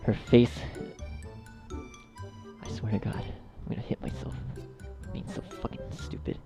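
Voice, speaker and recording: A man's voice: fairly low pitch, flat delivery, measured pace, gravelly. A man narrating a story in outtakes, exasperated, restarting sentences and swearing at himself in asides. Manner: exasperated, self-berating, sweary. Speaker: narrator and editor. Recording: loud music over the voice. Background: loud music.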